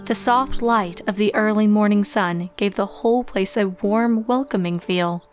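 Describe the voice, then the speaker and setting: A woman's voice: medium pitch, a little slow. A woman reading scripted storybook narration as the narrator of a fan fiction tale. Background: music.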